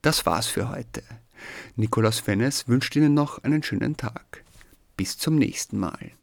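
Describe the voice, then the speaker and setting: A man's voice: medium pitch, animated, measured pace, vocal fry, no echo. A man reading scripted narration as the host of a radio programme.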